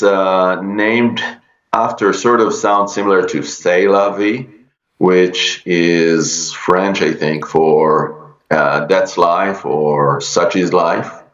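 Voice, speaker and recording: Casting: middle-aged Israeli man, very low voice, slow and halting, echoey room on lo-fi audio. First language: Hebrew. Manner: halting, many fillers and restarts. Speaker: guest, energy healer. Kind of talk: podcast interview.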